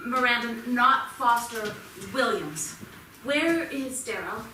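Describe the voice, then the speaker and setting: Woman acting anxious mother, high, slow, echoey. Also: expressive. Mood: anxious, pleading. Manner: hesitant, worried questioning. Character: anxious, pleading mother of a teenage boy. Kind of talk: staged play reading. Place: small theatre, staged reading. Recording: noisy, very echoey theatre from far off.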